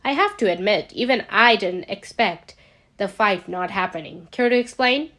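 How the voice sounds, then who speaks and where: A woman's voice: mid-range voice, expressive, normal speed, a bit bright, natural voice. Woman reading as a dry, unflappable spy chief. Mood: dry, demanding. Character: unflappable, commanding male spy chief, sardonic.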